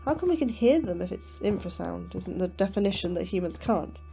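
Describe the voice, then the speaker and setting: A woman's voice: lowish voice, lively intonation, fairly quick, creaky, mellow, faint music behind. Anxious, inquisitive woman scientist. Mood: inquisitive, uneasy. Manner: questioning, nervous, apologetic. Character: anxious, inquisitive, apologetic female astrobiologist. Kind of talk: audio drama acting.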